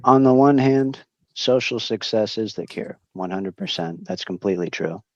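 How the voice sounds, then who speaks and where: A man speaking as a panel guest on an online call, measured and weighing both sides. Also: fairly low pitch, expressive, darkish tone, no echo, muffled recording.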